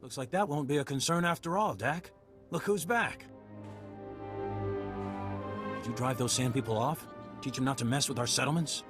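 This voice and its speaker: A man's voice: mid-range voice, a little fast, music in the background, lightly acted. A man voicing a blunt, feisty frontier militiaman with a folksy manner in a video game. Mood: eager.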